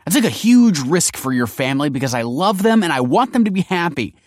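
A man's voice: mid-range voice, a little fast, no echo, studio quality, voice pushed up, lightly acted. A man voicing a distraught, defensive wife who pleads tearfully and justifies herself in a dramatic story reading. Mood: defensive, agitated. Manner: pleading, self-justifying, emotional. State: tearful, sniffing.

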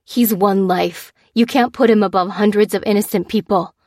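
A woman's voice: mid-range voice, fairly even intonation, moderate pace, creaky, darkish tone, no echo, studio quality. A woman voicing a desperate, defiant wounded cop in an audiobook, terse and pleading. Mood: urgent, defiant. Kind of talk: dramatised audiobook dialogue.

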